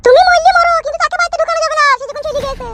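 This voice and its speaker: Super squeaky cartoon village wife, rural west bengal accent, brazen, rattles on really fast. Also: female voice, heavy background noise. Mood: indignant. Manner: argumentative, brazen. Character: shrill, defiant young village wife, sharp-tongued. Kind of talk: comic cartoon dubbing.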